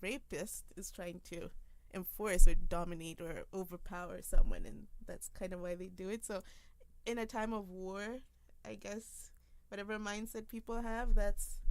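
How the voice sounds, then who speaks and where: A young woman hosts a relaxed podcast chat, her voice sitting at an ordinary middle pitch. She works through her ideas aloud, explaining at length and thoughtfully. She grew up in Africa. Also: normal speed, vocal fry. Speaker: podcast host. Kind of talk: casual podcast conversation.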